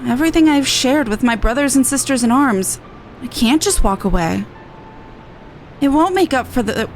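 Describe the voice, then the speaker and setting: A woman's voice: sits high, slight background noise. A woman voicing an earnest, dutiful young tribeswoman and resistance veteran in video game dialogue. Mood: earnest, resolute.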